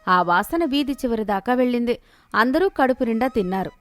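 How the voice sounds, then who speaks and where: A woman's voice: a little high, expressive, a little fast. A woman reading a scripted moral story as its narrator.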